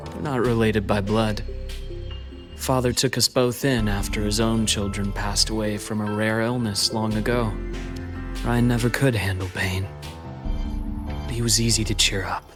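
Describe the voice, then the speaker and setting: An actor playing a grave, composed man with a bitter grudge in a video game, speaking in a measured, restrained way. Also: on the low side, fairly even intonation, natural voice. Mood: wistful. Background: game music.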